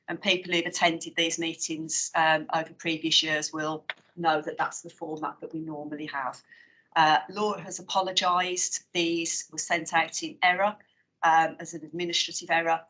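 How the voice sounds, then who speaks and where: A woman with a West Midlands English accent chairs a formal committee meeting. Her voice is bright and fairly low for a woman. She moves through agenda items in a measured, procedural way, with frequent pauses and fillers. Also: level tone, rough recording.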